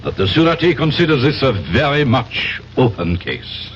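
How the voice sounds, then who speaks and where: An actor playing a suspicious, courtly veteran French police inspector, formal and sardonic, with a French accent in a radio drama. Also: male voice, medium pitch, big pitch swings, moderate pace, lightly acted. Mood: formal, warning. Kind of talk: 1970s radio drama acting. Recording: dull old radio recording, faint noise.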